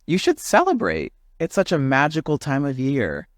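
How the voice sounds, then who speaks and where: A man's voice: on the high side, huge pitch swings, moderate pace, no echo, studio quality, voice pushed up. A man voicing a cheerful, coaxing stork from a storybook in a sing-song manner. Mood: cheery coaxing. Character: cheerful marabou stork urging festive celebration.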